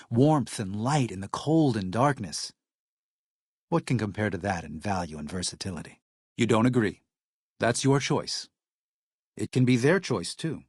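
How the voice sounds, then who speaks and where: A man's voice: on the low side, slightly rough, no room sound, clean studio sound. An actor playing a devout, weary missionary man in a video game, speaking with earnest gravity. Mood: weary, regretful. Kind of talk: video game character dialogue.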